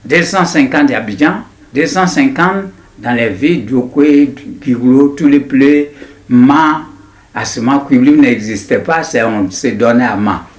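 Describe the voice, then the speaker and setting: A man in his nineties with an Ivorian accent, recounting his memories in an interview in a deliberate, halting way with repetitions. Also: mid-range voice. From Ivory Coast. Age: 90s. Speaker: interviewee, writer. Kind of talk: personal recollection in an interview.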